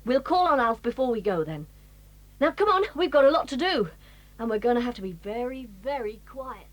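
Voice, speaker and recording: A woman's voice: fairly high pitch, animated, fairly quick, no echo, lightly acted. An actress playing a sensible, organised eldest boy who takes charge of the group. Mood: planning.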